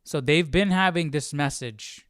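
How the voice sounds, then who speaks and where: A man hosting a casual Bible study livestream, haltingly thinking aloud as he comments. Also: a little high, fairly bright tone, clean tone, no room sound, studio quality.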